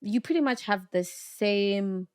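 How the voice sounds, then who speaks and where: A woman in her thirties from Zimbabwe, a guest telling stories with lively energy in a podcast studio. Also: mid-range voice, takes it slowly, clear, resonant tone. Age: 30s. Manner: lively storytelling, acting out voices. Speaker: guest broadcaster. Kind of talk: casual conversation. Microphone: studio mic.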